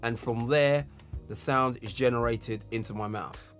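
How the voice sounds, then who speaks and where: A man's voice: medium pitch, unhurried. A man giving an instructional tutorial as a music tutor, explaining technique with emphasis on key words.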